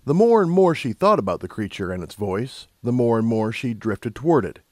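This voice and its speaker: A man narrating a scripted story as an audiobook narrator, in a measured, dramatic storytelling manner. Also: medium pitch, lively intonation, no echo, clean studio sound.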